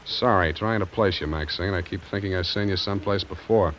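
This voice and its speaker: An actor playing a wry, deadpan hard-boiled private detective in 1940s radio noir style. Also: male voice, deep voice, brisk, muffled recording, slight background noise. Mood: suspicious, curt. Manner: deadpan, sardonic. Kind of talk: 1940s radio noir acting and first-person narration. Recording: vintage radio broadcast, music underneath.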